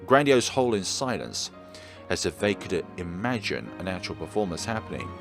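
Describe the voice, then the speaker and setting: A man's voice: sits low, moderate pace, gravelly, over music. A man hosting a let's play, reading a visual novel aloud and voicing every part expressively. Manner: reads all characters in one voice, expressive.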